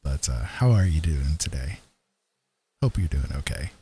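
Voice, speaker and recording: A man chatting casually with live stream viewers in an easygoing, rambling way, halting with frequent pauses. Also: rumbling low, expressive, dark tone, husky. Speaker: live streamer, digital painter and storyteller.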